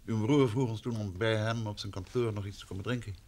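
An actor playing a polite, probing gentleman investigator in a vintage radio play, patient and attentive. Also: fairly low pitch, steady tone. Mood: courteous, probing. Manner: polite, questioning, measured.

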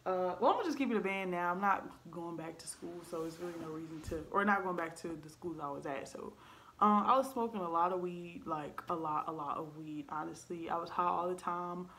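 A woman's voice: fairly low pitch, brisk, a bit bright, slight background noise. A woman around twenty, speaking candidly to camera from her living room, earnest and blunt, with frequent ums and likes. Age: 20s. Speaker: vlogger. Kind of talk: candid personal storytelling to camera. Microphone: camera in front at desk height.

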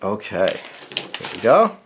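A man's voice: on the low side, very animated, unhurried, fairly bright tone, a little hiss or hum. A middle-aged American man giving a casual step-by-step drawing lesson, thinking aloud informally as he works. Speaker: cartoonist, drawing instructor.

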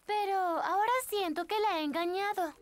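A woman's voice: extremely high pitch, normal speed. An actress playing a sweet, earnest young girl in a children's cartoon. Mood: guilty, earnest. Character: earnest, bright young cartoon girl, scheming but kind. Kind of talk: animated cartoon dubbing. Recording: music behind the voice.

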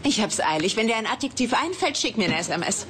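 A woman's voice: fairly high pitch, big pitch swings, fast talker, a bit bright. A woman playing a brusque, coolly clinical young scientist in a dubbed sitcom, blunt and matter-of-fact. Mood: irritated. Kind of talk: dubbed German sitcom acting. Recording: very noisy TV soundtrack rip.

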